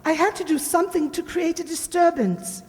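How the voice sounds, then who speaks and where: A high-pitched woman's voice rings out in a long, stagey reverb. An actress plays a secretive lady spy in an old-time radio drama, frightened and urgent, pleading breathlessly with theatrical intensity. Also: moderate pace, darkish tone. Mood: grave, secretive.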